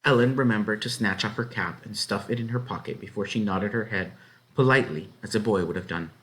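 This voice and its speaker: A man reading a children's story aloud as an expressive narrator. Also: fairly low pitch, brisk, low-quality recording.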